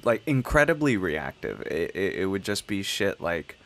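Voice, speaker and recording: Deep-voiced young man, profane casual chatter. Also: sing-song, moderate pace, creaky, fairly bright tone. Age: 19, turning twenty. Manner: profane, emphatic rambling. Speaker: podcast host. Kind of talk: casual chat.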